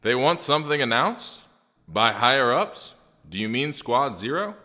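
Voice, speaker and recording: A man's voice: medium pitch, big pitch swings, normal speed, bright, forceful tone. A man voicing a blunt, brash squad captain in an anime fan dub, brusque and informal. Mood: skeptical. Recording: long hall reverb.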